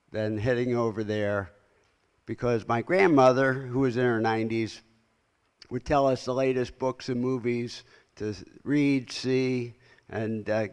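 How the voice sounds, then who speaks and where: A man in his sixties with a soft voice, giving a friendly, personal keynote talk into a microphone at a conference. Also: medium pitch, clear, resonant tone. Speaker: keynote speaker. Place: conference hall. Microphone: handheld or podium microphone. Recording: long hall reverb.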